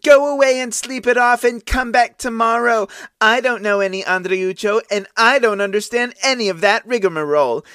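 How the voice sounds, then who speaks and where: A man's voice: extremely high pitch, moderate pace, a bit bright, clean tone, no echo, studio quality, voice pushed up. A man voicing a sleepy, annoyed young maidservant woman who answers mockingly and dismissively. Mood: scornful.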